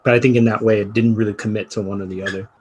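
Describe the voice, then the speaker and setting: A man's voice: fairly low pitch, flat delivery, very fast, a little mellow, slightly rough. A man on a podcast panel, talking through his thoughts in a winding, reflective way and laughing easily. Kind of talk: casual discussion.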